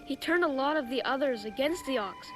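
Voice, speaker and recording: An actress voicing a frightened, earnest child recruit in a video game, speaking haltingly and anxiously. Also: female voice, high-pitched, lively intonation, over music. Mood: nervous, earnest. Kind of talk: video game cutscene acting.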